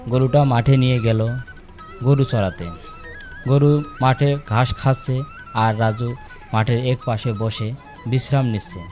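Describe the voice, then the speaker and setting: A man's voice: medium pitch, a little mellow. A man working as narrator, telling a children's cartoon story.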